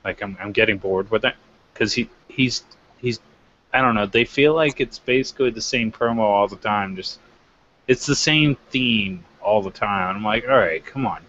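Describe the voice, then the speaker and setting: A man's voice: lowish voice, moderate pace, a bit bright, lo-fi sound, a little hiss or hum. A man co-hosting a wrestling review show, giving his commentary in loose, casual chat.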